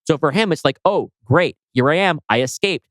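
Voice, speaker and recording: A man's voice: a little high, expressive, brisk, a bit bright, no echo, clean studio sound. A man co-hosting a podcast, casually explaining his own writing, posing rhetorical questions and swearing offhandedly. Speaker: podcast co-host and scriptwriter.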